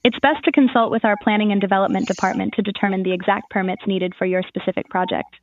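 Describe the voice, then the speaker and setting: A woman's voice: mid-range voice, fairly even intonation, quick pace, dry recording, highs cut off. A woman's voice as an AI assistant, giving scripted, formal informational answers in a customer-service manner.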